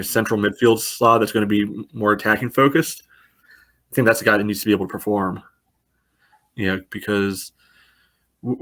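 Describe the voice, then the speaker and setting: A man with a lowish, very even voice gives casual sports analysis on a podcast. His phrasing is loose and padded with filler. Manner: loose phrasing with frequent "you know". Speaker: podcast panelist.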